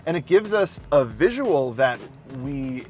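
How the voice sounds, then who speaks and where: A man's voice: a little high, very animated, unhurried, a little mellow, soft background music. A man talking casually as a guest film reviewer, unscripted and emphatic, often repeating his phrases. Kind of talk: casual, unscripted movie discussion.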